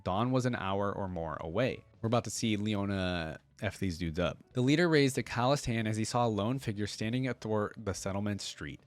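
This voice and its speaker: A man's voice: on the low side, no room sound. A man hosting a reaction video, reading a story aloud and commenting in a casual, slangy way.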